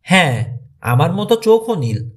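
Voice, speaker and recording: Man reading as a guarded, high-voiced teenage boy. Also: big pitch swings, a little slow, breathy edge, recorded from a distance, full character voice.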